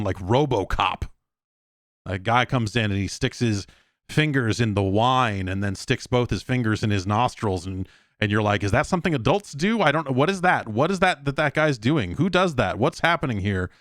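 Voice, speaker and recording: A man's voice: medium pitch, expressive, normal speed, a bit bright, no echo, studio quality. An American man in his late forties hosting a podcast solo, chatting casually in a rambling, wry, self-deprecating and sometimes profane way.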